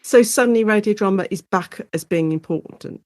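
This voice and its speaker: A British woman speaking as an expert guest in a conversational interview, explaining history in an informal, animated storytelling way. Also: medium pitch, a little fast, no room sound, clean studio sound. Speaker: guest expert, lecturer and author on Shakespeare in radio.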